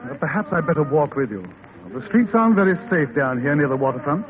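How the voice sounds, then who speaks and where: Guy as smooth gentleman traveler in 1930s radio drama, polite but persistent, tinny and noisy. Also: on the high side, lively intonation, fast, lightly acted. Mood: gallant. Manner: smooth, courteous, insistent. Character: suave, persistent gentleman traveller courting a lady.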